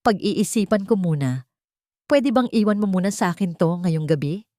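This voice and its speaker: A woman's voice: medium pitch, lively intonation, moderate pace, mellow, no room sound, clean studio sound, natural voice. A woman voicing a timid young wife who acts confused and questions hesitantly in a dramatic story.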